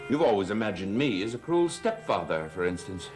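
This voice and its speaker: A man's voice: fairly low pitch, big pitch swings, a little fast, darkish tone. An actor playing a suave, cruel stepfather in a 1970s TV soap opera, sardonic and mocking. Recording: music score behind voice.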